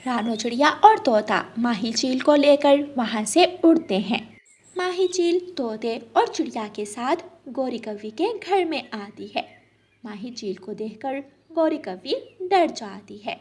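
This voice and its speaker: High-voiced lady narrating a kids cartoon story, really animated up and down. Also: unhurried.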